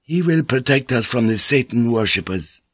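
A man's voice: mid-range voice, animated, normal speed, husky, no room sound, pitched above the performer's own voice. A man voicing a pious young merchant adventurer in an audiobook, preaching with fervent confidence. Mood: devout.

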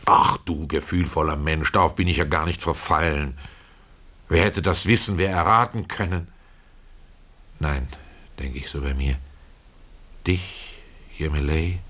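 Casting: poor pious tailor, low-pitched, plain-spoken, folksy and brooding, confiding storyteller for radio drama. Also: male voice, fairly bright tone, exaggerated voice. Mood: moved, resigned. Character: humble poor Russian tailor, plain-spoken, pious, retelling his regrets. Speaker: narrator of a literary radio play. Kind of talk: dramatic first-person storytelling.

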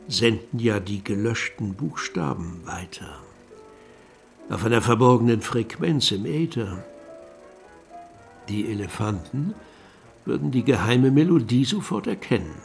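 A man narrating a literary radio play in a musing, deliberate manner. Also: medium pitch, creaky, darkish tone. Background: music.

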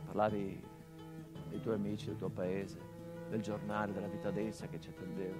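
An actor playing a grown son who speaks to his murdered father in a theatrical monologue, quiet and reflective, with flashes of bitter emphasis. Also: on the low side, level tone, normal speed, over loud music, lightly acted. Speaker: stage actor in a radio play.

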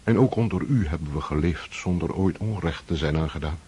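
A man doing an old manservant, very deep, husky, humble, radio play. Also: warm tone. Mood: deferential. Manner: respectful, slow, humble. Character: loyal old male servant, deferential. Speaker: old servant. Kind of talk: radio drama acting.

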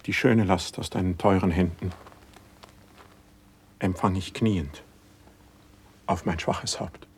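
An actor playing a humble, fervent young court poet in a classical radio verse drama, declaiming solemnly with deep feeling. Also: male voice, a little hiss or hum. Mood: humbled, hesitant. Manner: solemn, impassioned, measured declamation.

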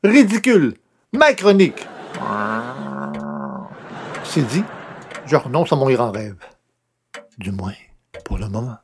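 A man's voice: medium pitch, expressive, slow, pauses often, background noise. A man playing a self-important would-be writer and columnist in an old-time radio comedy, theatrical and grumbling. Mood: indignant, grumbling. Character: pompous, wounded would-be great writer and newspaper columnist.

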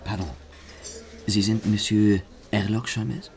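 An actor in a German radio play voicing a suave, teasing French gentleman thief with an amused, courteous, ironic manner. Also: fairly low pitch, fairly even intonation, slow pace. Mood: courteous, playful.

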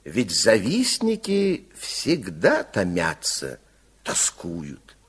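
A man's voice: on the low side, huge pitch swings, extremely slow, slightly rough, exaggerated voice. A man narrating a radio fairy tale with theatrical, dramatic storytelling.

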